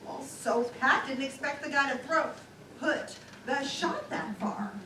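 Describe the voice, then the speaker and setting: A woman's voice: high voice, unhurried. A woman playing a polite, earnest newspaper reporter who asks insistent, confused questions in a stage comedy routine. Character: polite, increasingly confused female newspaper reporter, new to baseball. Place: small-town theater stage. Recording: distant mic in echoey theater, noisy.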